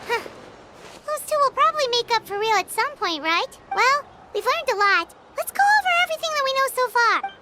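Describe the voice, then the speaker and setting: A woman voicing a small, cheerful, childlike fairy companion in a video game, chirpy and excitable with a squeaky voice. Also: a little fast, noisy background. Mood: curious. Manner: chirpy, excitable, refers to itself by name.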